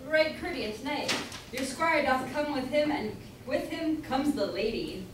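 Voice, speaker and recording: A woman acts a knight's deferential, muddled dwarf attendant in a stage comedy. The voice is high. It is heard from afar in a noisy, echoey room. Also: expressive, measured pace. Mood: deferential, halting. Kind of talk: amateur staged reading of Jacobean comedy. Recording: echoey room from far off, background noise.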